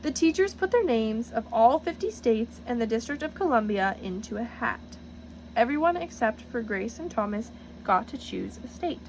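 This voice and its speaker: A woman reading a storybook aloud in an expressive, teacherly way, speaking directly to young listeners and asking them questions. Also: fairly high pitch, normal speed, slight background noise, poor audio. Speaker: reader for a children's read-aloud.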